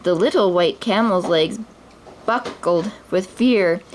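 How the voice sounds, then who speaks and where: A woman reading a children's picture book aloud at story time. Her gentle read-aloud has the odd stumble and restart. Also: mid-range voice, slow, slight background noise, low-quality recording. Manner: gentle, with small slips and restarts.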